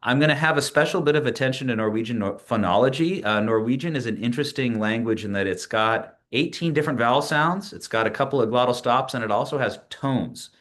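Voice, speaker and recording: A man's voice: mid-range voice, a bit bright. Man in his forties presenting. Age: 40s. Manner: measured, with frequent "uh" and restarts. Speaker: PhD student presenting a project. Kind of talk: presentation narration over screen share.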